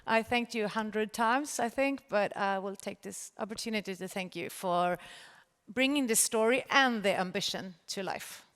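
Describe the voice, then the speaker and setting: Woman doing a conference talk, clean clear voice, long hall reverb. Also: medium pitch, moderate pace, fairly bright tone. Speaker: streaming company producer presenting at a conference. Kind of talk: conference presentation. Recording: reverberant hall, stage sound system.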